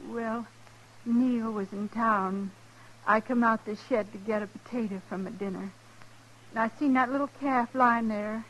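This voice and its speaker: An actress playing a poor, wretched homesteader wife in a Western radio drama, with halting, rustic, plaintive speech. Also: female voice, fairly high pitch, steady tone, a bit bright, a little hiss or hum. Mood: wretched, pleading. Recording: vintage radio drama recording.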